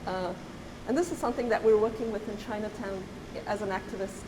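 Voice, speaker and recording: A woman's voice: mid-range voice, background noise. Woman speaking thoughtfully in a roomy hall. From Zimbabwe. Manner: thoughtful, pausing, many fillers and restarts. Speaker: artist. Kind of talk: reflective conversational talk. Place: gallery.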